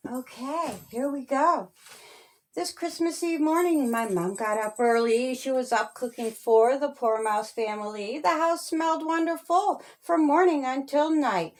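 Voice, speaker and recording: Slow-reading woman storyteller, higher voice. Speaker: author reading own children's story. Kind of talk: rhyming storybook read-aloud.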